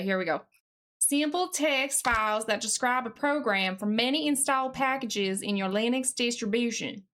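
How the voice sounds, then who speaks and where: A woman livestreamer chatting casually and reading aloud in an exaggerated, put-on deep Southern US accent, with a playful, folksy manner. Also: fairly bright tone. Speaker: live streamer.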